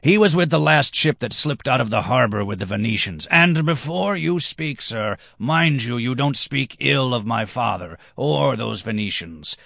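A man voicing a drunken, boastful, impish nobleman who is quick to anger and speaks in emphatic declamation. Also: medium pitch, lively intonation, creaky, fairly bright tone, dry recording, studio quality, voice pushed up. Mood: proud, defiant.

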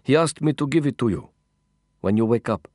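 Man acting earnest male comrade, audiobook character, halting learner's phrasing, caring, dry sound. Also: mid-range voice, expressive, darkish tone, no room sound, close to an everyday voice. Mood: soft, earnest. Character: Mahmoud, earnest, solicitous male Afghan-war comrade speaking accented English.

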